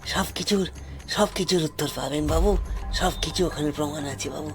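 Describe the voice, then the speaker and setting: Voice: mid-range voice, normal speed, over music, pitched below the performer's own voice, lightly acted. A performer voicing a poor, wronged forest tribesman in an audio story, pleading anxiously and repeating phrases insistently, with deference. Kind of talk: dramatised audio story acting.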